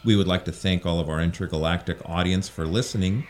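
Male announcer, low voice, brisk scripted outro. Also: steady tone, a little hiss or hum.

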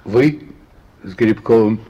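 Brisk surgeon in a cavernous echo. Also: male voice, fairly low pitch, mellow. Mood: brisk, firm. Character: brisk, firm hospital surgeon. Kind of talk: Russian radio play acting. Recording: dull, very echoey, distant, faint noise.

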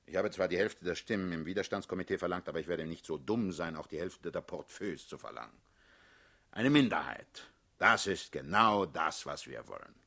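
A man's voice: low-pitched, expressive, fairly quick, gravelly, sharp tone, heavily acted. A male actor playing a hard-headed older revolutionary leader in a radio drama, lecturing and condescending. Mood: calculating, patient. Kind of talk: theatrical radio drama acting.

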